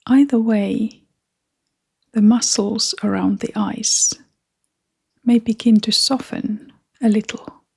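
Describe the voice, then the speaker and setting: A woman leading a guided meditation in a soft, breathy, half-whispered voice, gently and with long pauses. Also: mid-range voice, fairly even intonation.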